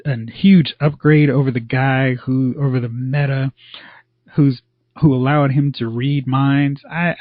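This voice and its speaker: A man's voice: mid-range voice, no room sound. Male podcast co-host, chatty and opinionated, dry close mic, dull lo-fi sound. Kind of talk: casual TV review chat. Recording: slightly dull lo-fi audio.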